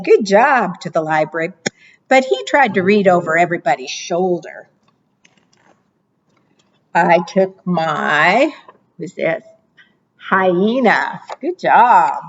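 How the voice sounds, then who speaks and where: A woman librarian reading picture books aloud to preschoolers, with expressive, animated delivery, asking the children questions and praising their answers. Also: slow, long pauses, dull audio. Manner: expressive, animated read-aloud with playful pitch jumps.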